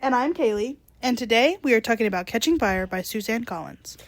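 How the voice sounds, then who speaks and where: A young woman with a slightly phlegmy voice co-hosting a casual book podcast, blunt and quick to swear. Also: on the high side, expressive. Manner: blunt, swears freely, laughs easily. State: a bit phlegmy. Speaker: podcast co-host. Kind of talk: casual book club chat.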